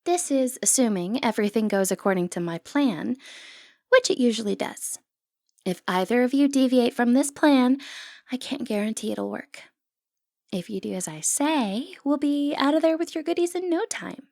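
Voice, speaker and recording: A woman's voice: on the high side, expressive, normal speed, creaky, a little mellow, dry recording, studio quality. A woman acting a sly, flirtatious thief in a fantasy ASMR roleplay, with a teasing, confident, wry way of talking. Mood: brisk, confident scheming.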